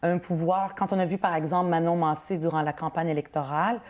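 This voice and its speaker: A woman in her thirties, from Palestine with Arabic as her first language, speaks with a Quebec French accent as an interview guest, earnest and quick to laugh. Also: on the low side, fairly even intonation, a little mellow. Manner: earnest, laughs easily, repeats words. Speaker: politician, guest. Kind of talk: interview answers.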